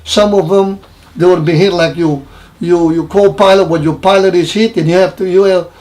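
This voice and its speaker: A man in his seventies from Romania with a Romanian accent, recounting his wartime childhood in an interview in halting, repetitive English. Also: high-pitched, steady tone, a little mellow, low-quality recording. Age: 70s. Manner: halting, repeats phrases, broken English grammar. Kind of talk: personal wartime recollection interview.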